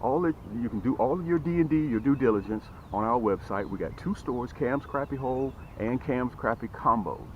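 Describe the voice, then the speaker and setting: A middle-aged man hosting a fishing vlog from a small boat on a lake, talking energetically to camera and often adding "okay?" and "boom". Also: lively intonation, brisk, few pauses, dull audio, a little hiss or hum. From Atlanta, Georgia area. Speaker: fishing channel host. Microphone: camera close on a boat.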